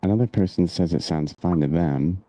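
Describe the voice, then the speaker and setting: A man co-hosting a livestream, chiming in casually with halting, relaxed remarks. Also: low voice, no echo.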